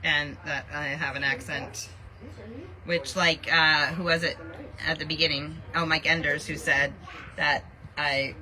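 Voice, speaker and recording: A woman's voice: low-pitched, flat delivery, unhurried, bright, forceful tone, low-quality recording. A Canadian woman with a Canadian accent, co-hosting a podcast and chatting in a rambling way full of fillers. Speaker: podcast co-host. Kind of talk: casual chat.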